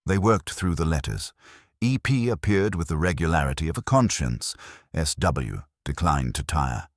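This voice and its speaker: A man narrating a literary mystery from a script in a measured, wry manner. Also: very deep voice, lively intonation, no room sound, studio quality. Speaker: audiobook narrator.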